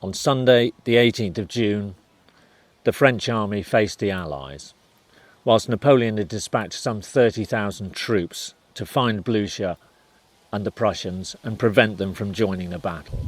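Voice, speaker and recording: A man reading scripted narration for a historical documentary. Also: fairly low pitch.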